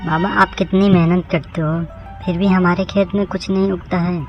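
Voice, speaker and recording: A man's voice: sits high, flat delivery, normal speed. A man acts out a poor farmer's little boy in a cartoon moral tale. The voice is raised and plaintive, earnest toward his father, with muffled lo-fi sound and music behind. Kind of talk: Hindi moral story cartoon voice acting. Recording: dull lo-fi cartoon audio.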